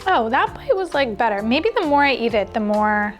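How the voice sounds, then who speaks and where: Female food vlog host, high voice, exclamatory and conversational, music underneath. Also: animated, moderate pace. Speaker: cooking show host. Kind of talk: casual food vlog chat. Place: indoor kitchen.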